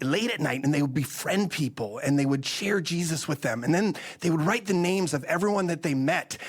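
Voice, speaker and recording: A man from the Netherlands speaks as a guest in an interview. He moves between conversational storytelling and preaching, with an earnest, emphatic manner. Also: high voice. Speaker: interview guest, missions organization leader.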